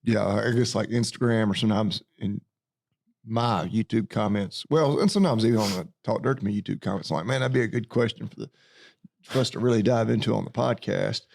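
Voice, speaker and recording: Gravelly low farmer. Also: male voice, fairly quick, a little mellow. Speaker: podcast co-host, farmer. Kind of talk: casual chat.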